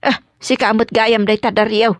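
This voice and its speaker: A grumbling older Ilocano mother-in-law, played by a woman, gossipy and quick to complain in a comedy drama. Her voice sits fairly high, with an Ilocano accent. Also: fairly even intonation, dry recording, natural voice.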